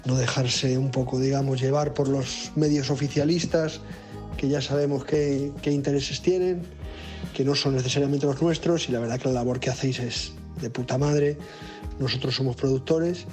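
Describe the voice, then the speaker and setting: A man's voice: medium pitch, fairly even intonation, moderate pace, a little mellow. A man with an accent from Spain leaving an informal, rambling voice message as a listener. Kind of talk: casual voice message. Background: music.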